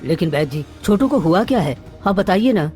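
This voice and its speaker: A woman's voice: lowish voice, expressive, fairly quick, warm tone, soft background music, close to an everyday voice. A woman voicing a poor, desperate village father in a cartoon, earnest and pleading. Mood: anxious, pleading. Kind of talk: melodramatic cartoon dialogue acting.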